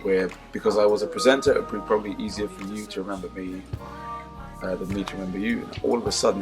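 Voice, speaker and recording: A very low-pitched man leads a church interview, pausing often on ums as he praises and questions his guest. Faint music sits underneath his voice. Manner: halting, many ums, warm and appreciative. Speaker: pastor and interviewer.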